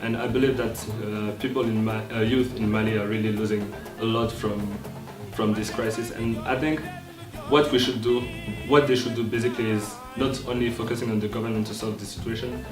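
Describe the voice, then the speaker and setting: Low, level young man, distant and echoey. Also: fairly even intonation, brisk. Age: young adult. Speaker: youth speaker. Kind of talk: earnest opinion statement. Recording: echoey room, distant mic, music behind.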